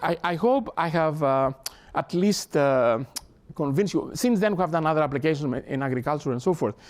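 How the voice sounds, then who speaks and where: A man in his sixties from Greece, speaking English with a Greek accent, gives an academic talk in an earnest, halting manner with many restarts. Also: on the high side, lively intonation. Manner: earnest, halting, with frequent restarts and repeated words. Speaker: professor. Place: lecture hall.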